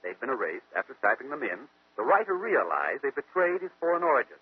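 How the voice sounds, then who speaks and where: A man's voice: medium pitch, big pitch swings, breathy edge. A man playing a brilliant, methodical American detective who explains deductions step by step in 1940s radio mystery style. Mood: confident, explanatory. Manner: deliberate, didactic, question-and-answer reasoning. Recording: muffled vintage radio recording, phone-like.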